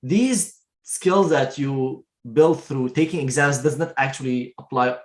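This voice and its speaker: A man's voice: mid-range voice, measured pace, reverberant. A man hosting a video interview, enthusiastically praising his guest and asking her questions. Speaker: host.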